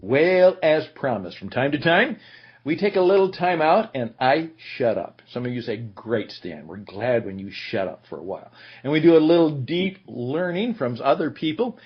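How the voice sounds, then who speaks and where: A male podcast host with a husky voice that swings high and low as he talks. He chats easily and pokes fun at himself. The sound is muffled and a little lo-fi. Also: moderate pace. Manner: chatty, self-deprecating, animated. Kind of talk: conversational interview hosting.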